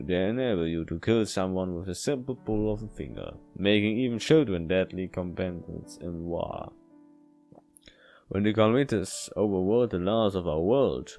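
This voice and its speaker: Male let's player, lower register, stumbling casual read-aloud over light plucked guitar music. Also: a little mellow. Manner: halting delivery, stumbles and repeats words while reading. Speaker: video game let's player. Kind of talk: reading a visual novel aloud with casual commentary. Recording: music behind the voice.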